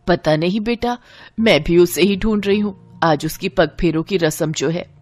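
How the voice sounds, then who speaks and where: A woman voicing a gentle, patient middle-aged mother-in-law with a mild, coaxing manner in a family story. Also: on the low side, moderate pace, faint music behind, natural voice.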